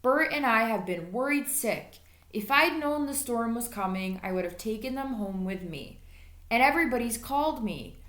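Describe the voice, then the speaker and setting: Kindly, worried lady teacher from a storybook, read by a woman. Higher voice, roomy echo. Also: expressive, normal speed, a bit bright. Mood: concerned. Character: concerned, kindly woman schoolteacher. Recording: echoey room.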